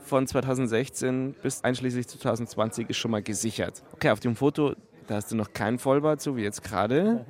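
A male reporter asks short, easygoing questions in a casual back-and-forth. His pitch is in the middle range for a man. He keeps his prompts brief. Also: a little fast, darkish tone.

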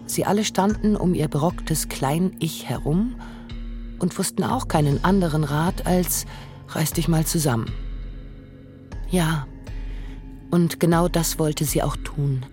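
Radio play narrator, mid pitch, reading literary script, music underneath. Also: measured pace, long pauses, darkish tone.

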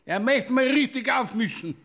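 A man's voice: very high-pitched, lively intonation, moderate pace, pushed and bright, roomy sound, long reverb tail, exaggerated voice. An actor playing a chatty, wry Bavarian angel who serves as a heavenly doorman in a radio play. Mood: storytelling. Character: Thurmeier, a chatty angel doorman serving Saint Peter.

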